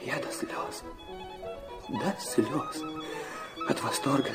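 An actor playing an impassioned, wounded young illegitimate son in a Russian radio drama, speaking fervently and haltingly. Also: male voice, medium pitch, huge pitch swings, heavily acted. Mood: hesitant, tender. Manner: fervent, halting, breaking into repetitions. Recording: orchestral violin music behind the voice.

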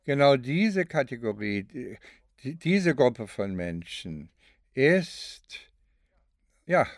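Slow, searching, pensive man. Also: medium pitch, very animated, no echo, clean studio sound. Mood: pensive, grave. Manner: halting, deliberate, thoughtful, with long drawn-out phrases. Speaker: performer in a radio play recording session. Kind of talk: re-enacting spontaneous reflective testimony.

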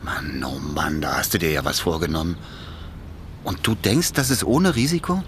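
Low-voiced, wary petty thief. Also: male voice, lively intonation, moderate pace, noisy background. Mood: astonished, wavering. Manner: colloquial, hesitant, muttering exclamations of doubt. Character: wary, plain-spoken petty thief, reluctant male accomplice. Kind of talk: naturalistic German radio play dialogue.

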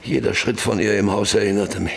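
Gravelly, troubled middle-aged farmer. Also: male voice, lowish voice, fairly even intonation, fairly quick. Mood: haunted, confessional. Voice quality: gravelly, husky. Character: troubled, defensive farmer and father, haunted by guilt. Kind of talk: German radio play acting.

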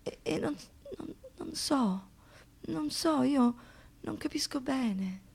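A woman's voice: high voice, expressive, slow, creaky, slightly rough, exaggerated voice. An actress playing a traumatised young woman who survived a brutal assault, in a poetic, declamatory radio monologue, anguished and defiant. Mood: lost, faltering. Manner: dramatic, swinging between hushed reflection and impassioned outbursts.

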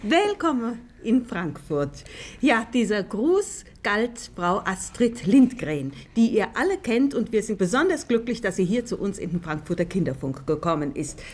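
Animated woman radio host, faintly noisy archive recording. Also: medium pitch, normal speed. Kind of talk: moderating a children's radio interview.

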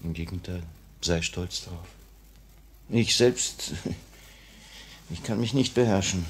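A man's voice: low-pitched, slow, a little hiss or hum. An actor in a 1950s German radio drama playing a brooding, restless male leader of a revolutionary cell, with an intense, theatrical, confiding delivery. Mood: wistful, confessing. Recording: old 1950 radio play recording.

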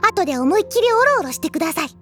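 A woman's voice: extremely high pitch, sing-song, very fast, music in the background. A woman playing a cute younger schoolgirl, polite and playful, in an anime-like Japanese audio drama. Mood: playfully smug. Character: cute, teasing younger schoolgirl masking her true feelings.

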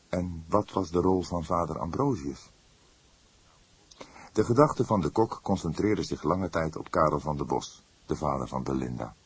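A man reading a detective novel as an audiobook narrator, in measured, dramatic storytelling. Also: low voice, breathy edge, no room sound.

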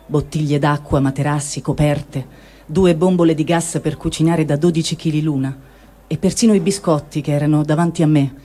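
Mellow man reading a diary, slightly high. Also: steady tone, fairly quick. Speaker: reader. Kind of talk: reading a first-person diary aloud.

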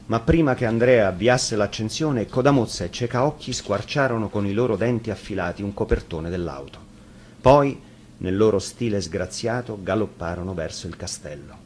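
A man's voice: fairly low pitch, animated, normal speed, a little mellow, slight background noise. A man narrating a scripted fairy tale as a dramatic storyteller.

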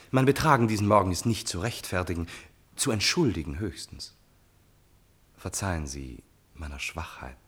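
An actor playing an amorous, courtly prince in a classical radio drama, florid, pleading and smoothly persuasive. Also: male voice, low-pitched, full character voice. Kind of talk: classical German stage-play radio acting.

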